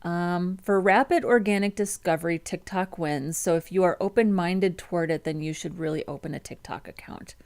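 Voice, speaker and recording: A woman's voice: on the low side, moderate pace, hardly any pauses, darkish tone. A woman in her thirties with a Midwestern American accent, chatting casually on a livestream and reading viewer comments, with many ums and restarts. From United States. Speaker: web developer streamer.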